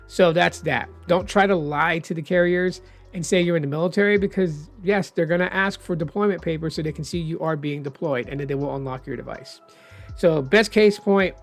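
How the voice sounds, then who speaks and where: A man explaining phone unlock rules in a casual, rambling vlog, hoarse as he says he is losing his voice. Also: fairly high pitch. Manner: chatty, rambling, opinionated. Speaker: tech vlogger.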